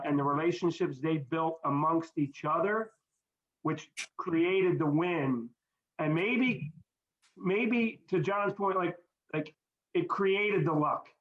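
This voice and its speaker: A man's voice: fairly high pitch, fairly bright tone. A middle-aged man speaking earnestly and reflectively in a group conversation, often repeating words as he goes. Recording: dull, echoey audio.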